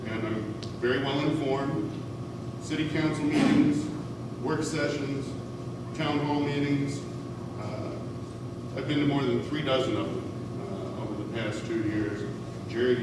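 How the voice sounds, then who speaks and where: A middle-aged man with a big voice, giving a formal, earnest campaign speech, with frequent "uh" fillers. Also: mid-range voice. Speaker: city council candidate. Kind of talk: campaign speech at a candidate forum. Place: school hall. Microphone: distant, speaking without a microphone. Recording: echoey hall from far off with room noise.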